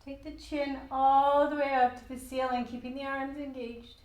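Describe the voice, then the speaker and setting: A woman with a croaky voice guiding a gentle yoga class in an old studio, cueing calmly with light laughs. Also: high-pitched, normal speed, reverberant, poor audio. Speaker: yoga instructor.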